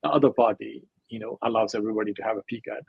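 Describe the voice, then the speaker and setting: Gravelly husky man, rambling. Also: lowish voice, fairly even intonation, rapid-fire, no echo, phone-quality sound. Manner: digressive, filler-heavy, emphatic. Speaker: guest, crypto scientist. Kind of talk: casual interview talk.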